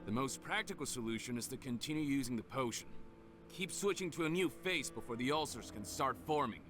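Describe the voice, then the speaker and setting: An actor playing a cynical, self-serving criminal schemer in a video game, smug and calculating. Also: male voice, medium pitch, animated, a little fast, fairly bright tone, lightly acted. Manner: calculating, smugly unapologetic. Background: music.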